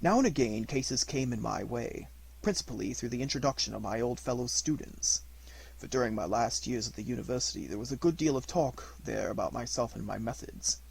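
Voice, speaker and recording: A man reading a detective story aloud as an amateur audiobook narrator, in an expressive manner. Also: medium pitch, brisk, gravelly, slightly rough, rough recording.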